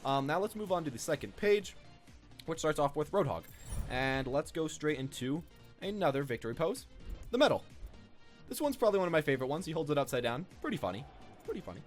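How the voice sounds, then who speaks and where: A man's voice: on the high side, sing-song, fairly quick, faint music behind. A man giving casual, off-the-cuff gaming commentary as a video host, rambling and repeating his own phrases.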